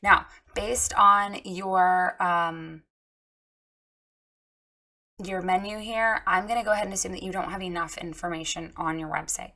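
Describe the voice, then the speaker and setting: A woman with a hoarse, froggy voice giving a frank, chatty critique of a website, with many ums and restarts. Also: lowish voice, fairly even intonation, unhurried, pushed and bright. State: hoarse, recovering from lost voice. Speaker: website reviewer giving feedback. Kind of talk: casual spoken critique.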